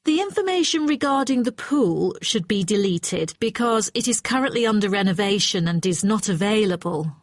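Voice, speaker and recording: A woman's voice: mid-range voice, lively intonation, normal speed, hardly any pauses, no room sound, clean studio sound, close to an everyday voice. A woman playing an exacting, matter-of-fact hotel client in a scripted listening-test dialogue. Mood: businesslike, firm. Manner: formal, precise, matter-of-fact. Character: brisk, exacting female hotel client requesting brochure revisions.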